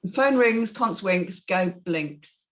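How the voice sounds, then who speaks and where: A woman serves as narrator for a script reading. She delivers stage directions and scene descriptions in a mid-pitched voice. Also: expressive, slow pace.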